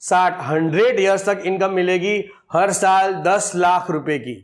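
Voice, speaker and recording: A man's voice: high voice, a little slow. A man in his forties, a financial advisor, explaining an insurance plan to viewers step by step and calling them friends. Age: 40s. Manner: addresses viewers as friends, works through figures step by step.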